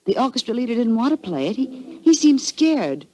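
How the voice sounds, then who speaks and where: Plucky young American heiress, animated. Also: female voice, on the high side, moderate pace. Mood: chatty. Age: young adult. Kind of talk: 1940s radio drama acting.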